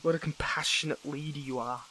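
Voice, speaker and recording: A man's voice: fairly high pitch, steady tone, pushed and bright. Man acting a conflicted young superhero, crackly noisy audio. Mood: defiant, taunting. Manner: halting, emotional, with stammered restarts. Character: wounded young male superhero, conflicted, bitter, defiant toward villain. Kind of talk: dramatic audio roleplay monologue.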